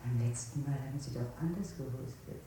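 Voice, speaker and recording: An actress playing a coldly polite, accusing interrogator in a 1960s radio play. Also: female voice, a little fast, mellow. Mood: sharp, accusing. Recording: very echoey, distant, faint rumbling noise.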